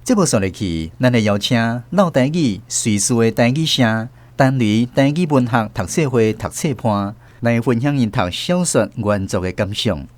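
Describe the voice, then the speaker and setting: A man's voice: medium pitch, expressive, moderate pace, few pauses, no echo. A man with a Taiwanese accent presenting a scripted radio programme introduction as host and narrator.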